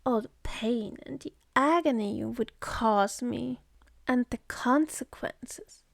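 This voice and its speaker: A woman voicing a jaded, scornful, seductive demoness in an ASMR roleplay, with a sardonic manner that slips into breathy whispers. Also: fairly high pitch, slow pace, dry recording. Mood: bitter, resentful.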